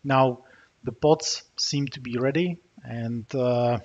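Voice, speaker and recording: A man's voice: medium pitch, steady tone, slow pace. Man, Czech accent, screencast tutorial, typing while narrating, muffled audio. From Czech Republic. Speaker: software developer demoing a tool. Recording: slightly dull, muffled recording with keyboard typing.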